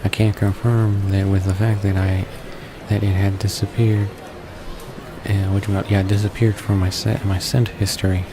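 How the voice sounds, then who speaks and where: A man playing a visual novel and reading its text aloud in a halting way, stumbling and repeating words. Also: fairly low pitch, level tone, background noise. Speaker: let's player. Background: game background music.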